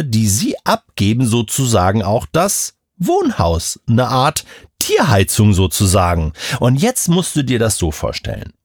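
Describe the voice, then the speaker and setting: A man's voice: mid-range voice, no room sound, clean studio sound. A man explaining things simply to a child as a show's expert, conversational and often checking understanding with questions. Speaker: Bible expert on a children's show.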